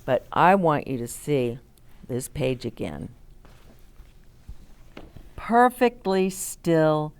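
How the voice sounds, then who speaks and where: Woman reading to children, strikingly animated, unhurried. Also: deep voice, clean tone. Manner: expressive, explains simply, asks children questions. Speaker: children's story time host and reader. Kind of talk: read-aloud story time, chatting to young children.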